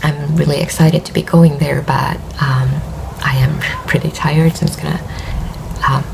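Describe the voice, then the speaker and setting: A woman's voice: low-pitched, level tone, moderate pace, creaky, noisy background. A tired woman from Finland with a Finnish accent and a worn voice, hosting a knitting podcast and chatting hesitantly with many fillers. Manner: hesitant, rambling with many fillers and soft laughs. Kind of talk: casual vlog chat.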